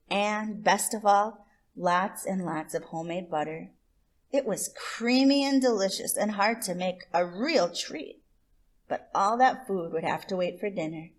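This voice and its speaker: A woman hosts a children's story time, reading a picture book aloud expressively. Her pace is slow and deliberate and her pitch sits in the middle, with emphatic stresses on words. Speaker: children's story reader and host.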